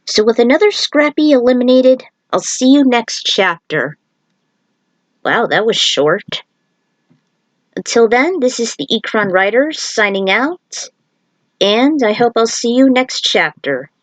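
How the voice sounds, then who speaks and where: A woman's voice: on the high side, lively intonation, measured pace, dry recording, rough recording. A woman narrating as a fan fiction writer, with informal, chatty asides. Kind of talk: reading own story, then casual commentary.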